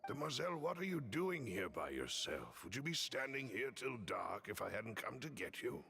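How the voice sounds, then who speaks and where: A man playing a gruff, loyal, politely fussing attendant in a video game, with a gravelly voice. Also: medium pitch, sing-song, moderate pace, pushed and bright, heavily acted. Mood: fond chiding.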